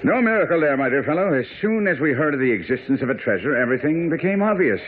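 An actor playing a brisk, confident, keen detective who lectures incisively in a radio drama. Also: male voice, on the high side, very animated. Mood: assured. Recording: phone-like, faintly noisy old radio broadcast.

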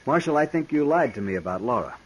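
An actor playing a haughty, threatening young gentleman, stiff and demanding, in a 1950s western radio drama. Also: mid-range voice, lively intonation, fast talker, close to an everyday voice. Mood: cold, threatening. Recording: noisy 1950s radio recording.

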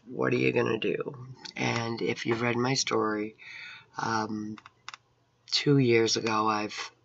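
A middle-aged woman with a raspy, hoarse voice, giving an earnest, rambling personal testimony into a webcam at home. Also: extremely low pitch, fairly bright tone, no echo. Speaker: Bible college student. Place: room at home with painted scripture wall. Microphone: webcam at close range. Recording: dull lo-fi webcam audio.

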